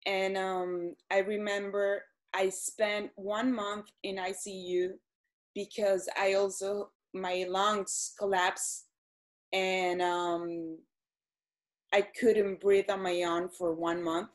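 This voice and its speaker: Woman, 30s, interview guest, hesitant, very slow pace. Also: medium pitch, level tone. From Venezuela. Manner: hesitant, frequent ums and restarts. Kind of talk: casual interview answers.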